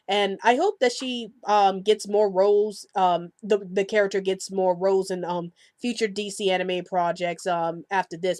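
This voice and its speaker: A woman with a mid-pitched voice hosts an informal discussion podcast. She pauses and fills gaps as she gathers her thoughts. The sound is dry, with little room around it. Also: dry recording. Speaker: podcast host.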